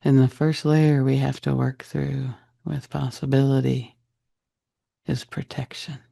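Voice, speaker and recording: A man leading a guided meditation in a soft, breathy, husky voice, speaking deliberately in short phrases with long pauses. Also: medium pitch, level tone. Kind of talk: guided meditation, slow soothing narration.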